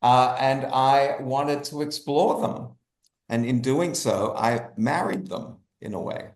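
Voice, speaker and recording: A man's voice: mid-range voice, takes it slowly. An Australian man answering interview questions as a guest, speaking emphatically and repeating phrases for effect. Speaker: guest, writer and animator. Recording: roomy, echoey sound.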